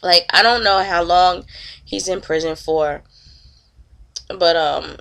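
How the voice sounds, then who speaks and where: A woman's voice: on the low side, slow pace, vocal fry, sharp tone, clear, resonant tone. A woman in her thirties with a cold, sounding congested and nasal, chatting and gossiping to camera from home. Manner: chatty, openly disgusted commentary. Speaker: vlogger. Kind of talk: casual gossip chat. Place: at home, indoors.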